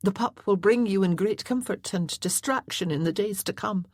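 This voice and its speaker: A woman voicing a tender young mother who speaks softly and earnestly, sometimes in a whisper. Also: medium pitch, moderate pace, no room sound, clean studio sound, pitched above the performer's own voice, close to an everyday voice. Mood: firm. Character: tender young Scottish mother, loving but firm.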